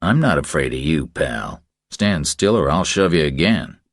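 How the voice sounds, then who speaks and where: A big tough man in a thriller audiobook, acted by a male narrator. His voice is deep and dry, and he speaks in short, firm, gruff phrases. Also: big pitch swings, a little slow, no room sound, heavily acted. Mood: firm, wary. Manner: firm, terse.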